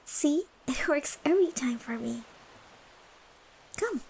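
A woman plays a gentle, cheerful young dancer in an ASMR comfort roleplay. Her voice is high, soft and breathy, and she speaks slowly with caring encouragement. Faint music and ambience sit behind her. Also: expressive, slight background noise, full character voice. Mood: warm, encouraging.

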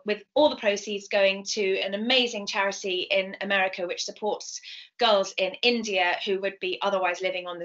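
A middle-aged British woman explaining things in an interview over a video call, speaking in an animated, emphatic, passionate way. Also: medium pitch. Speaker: composer and singer being interviewed. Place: indoors, home room. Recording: slightly dull video call audio.

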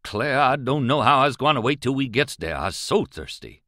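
A man's voice: mid-range voice, big pitch swings, normal speed, sharp tone, dry recording, clean studio sound. A man voicing a frightened, superstitious and stubborn grown man in an audiobook, with a Southern US accent and earnest, emphatic dialect speech. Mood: anxious, pleading. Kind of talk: audiobook character voice.

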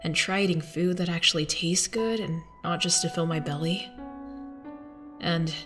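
Woman playing a blunt jaded young street fighter girl, lowish voice, music behind her, comic dub. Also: moderate pace, natural voice. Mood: weary, wistful. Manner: blunt, cynical. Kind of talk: fan-dub comic voice acting.

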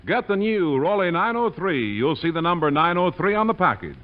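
Animated 1940s radio ad announcer, male. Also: sits high, fairly quick. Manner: emphatic, polished salesmanship. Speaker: commercial announcer. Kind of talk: 1940s radio advertising read. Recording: muffled 1940s radio broadcast.

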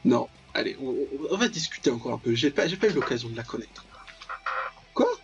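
A man's voice: mid-range voice, big pitch swings, brisk, vocal fry. A man hosting a let's play, commenting ironically and reading game text aloud with lots of interjections. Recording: dull lo-fi mic with music behind. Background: game music.

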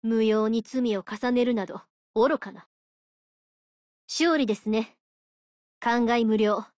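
Anime game hell judge lady, kinda high, really slow n proper, preachy but caring. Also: fairly even intonation, darkish tone, no room sound, clean studio sound. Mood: solemn. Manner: formal, polite, measured, preachy. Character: stern, caring female judge of the underworld, lecturing. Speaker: voice actress. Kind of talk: Japanese mobile game character voice lines.

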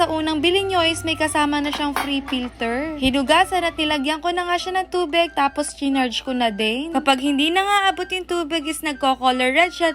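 A woman's voice: high-pitched, a bit bright. A woman with a Filipino accent giving a casual, chatty voice-over as a vlogger reviewing products, with exclamations sprinkled in. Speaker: vlogger showing parcels she received. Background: music.

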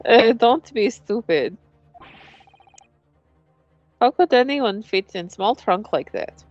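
A woman voicing a gruff, suspicious old Russian man in a video game, curt and dismissive, with a put-on Russian accent. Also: a little high, lively intonation, slow, dull audio, soft background music. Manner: curt, dismissive, clipped broken English.

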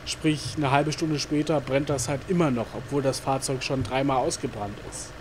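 A man's voice: mid-range voice, steady tone, moderate pace, background noise. A man giving casual let's-play commentary, rambling with many fillers and muttering to himself. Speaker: gaming YouTuber.

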